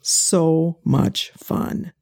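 A woman's voice: deep voice, steady tone, drawn-out words, a little mellow. An older woman chatting as an interview guest, telling stories and acting out what people said to her. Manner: storytelling, acts out quoted people. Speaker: guest, pie sale volunteer. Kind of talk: casual interview chat.

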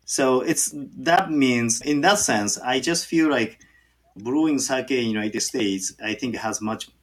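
A man with a Japanese accent, a native Japanese speaker, answering interview questions haltingly with many fillers and restarts. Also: medium pitch. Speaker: sake brewer.

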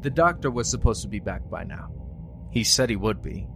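A man playing a lonely, weary survivor broadcasting after an apocalypse in an audio drama, musing in a halting, understated, resigned way. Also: mid-range voice, animated, a bit bright. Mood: uneasy musing. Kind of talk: audio fiction monologue. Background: music.

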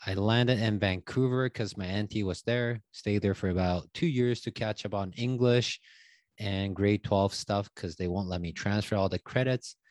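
A man, a guest telling his story in a casual interview, loose and halting with many fillers. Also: fairly low pitch, darkish tone, no echo. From Taiwan. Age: 30s. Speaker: guest, stock options coach.

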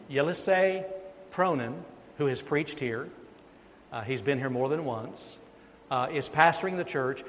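Man preaching in a reverberant sanctuary. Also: a little hiss or hum. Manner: emphatic, rises in pitch and volume on key points. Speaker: pastor. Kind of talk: sermon. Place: church sanctuary. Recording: long reverb of a church sanctuary.

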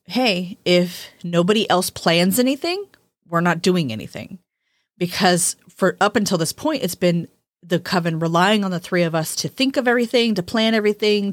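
Woman podcast co-host, lowish voice, dry close mic, chatty and laughing, casual chat. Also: no room sound. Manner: chatty, laughs often, repeats words as she thinks.

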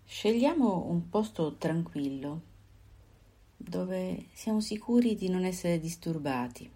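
Lady doing a body scan meditation, low mellow voice, calm short phrases w pauses. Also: slightly rough. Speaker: meditation guide.

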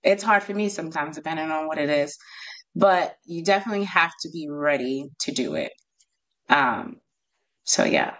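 A woman's voice: deep voice, big pitch swings, a bit bright. A woman with a New York accent, a home organizer as podcast guest, chatting casually and laughing often. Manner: laughs often, talks in bursts with pauses.

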